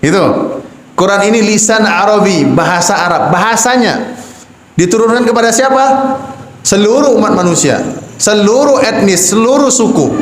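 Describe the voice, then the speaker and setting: A Javanese man gives a recitation lesson to imams and preachers, asking rhetorical questions and explaining patiently. His voice sits a touch high and moves slowly. The sound is roomy, with a faint hum behind it. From Java, Indonesia. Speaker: Quran recitation teacher. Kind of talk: teaching imams and preachers, with sung Quran recitation examples. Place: mosque hall. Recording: echoey mosque hall, faint background hum.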